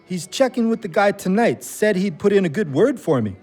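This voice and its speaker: An earnest man fresh out of prison in an audio play. His pitch moves freely as he talks, like someone trying to do better. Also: big pitch swings, a little fast, a little mellow, slightly rough, soft background music, exaggerated voice. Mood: earnest, low-key. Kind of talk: audio drama acting.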